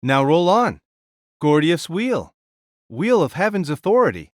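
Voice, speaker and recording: A man voicing a bold, booming warrior king who talks in loud, hearty commands. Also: sing-song, unhurried, dry recording, studio quality. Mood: commanding.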